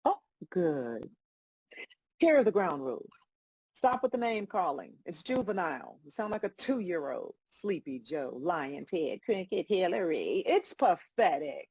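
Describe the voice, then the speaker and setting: A woman playing God as a stern female celestial judge in a comedic table read, husky-voiced, dry, scolding and sarcastic. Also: fairly low pitch, very animated, measured pace, no echo, muffled recording, full character voice. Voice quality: husky, raspy.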